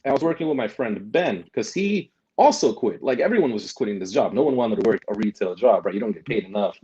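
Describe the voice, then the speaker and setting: A man's voice: fairly low pitch, expressive, fast talker, mellow, highs cut off. A young man talking casually as a guest, rambling with many restarts and tags like "right?". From Germany. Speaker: guest, delivery driver and vlogger. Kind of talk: casual interview chat.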